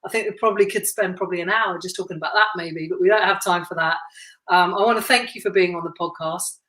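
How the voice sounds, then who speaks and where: Brief: chatty woman podcast host, lowish voice, roomy echo around her. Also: quick pace. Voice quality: self-described deep voice. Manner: chatty and warm, laughs often. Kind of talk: casual interview chat.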